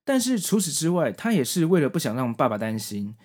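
A man's voice: a little high, sing-song, a little fast, a little mellow, breathy edge. A man hosting a podcast, narrating and commenting on a story from prepared notes in an explanatory way. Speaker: podcast host.

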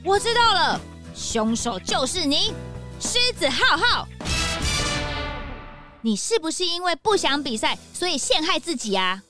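A woman voicing an earnest, excitable young detective sidekick in a children's audio drama. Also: very high-pitched, measured pace, long pauses, music in the background, exaggerated voice. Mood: excited.

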